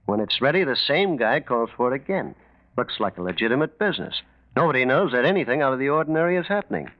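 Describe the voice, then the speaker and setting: A man's voice: medium pitch, expressive, quick pace, close to an everyday voice. An actor playing a sly, cocky male racketeer with glib, slangy, street-tough talk in a 1940s radio mystery. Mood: glib, persuasive. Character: slick small-time crook, a sly, cocky male racketeer. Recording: muffled lo-fi 1940s radio recording.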